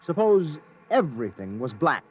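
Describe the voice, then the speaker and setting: High-pitched, probing male reporter. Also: big pitch swings, normal speed. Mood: inquisitive. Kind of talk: 1950s radio drama acting. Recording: dull, hissy 1950s radio recording.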